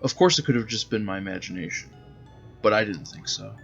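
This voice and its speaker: A man's voice: lowish voice, fairly quick, creaky, fairly bright tone, dull audio, faint music behind. A man narrating his own short story in a dramatic storybook style. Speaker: author reading his own zine.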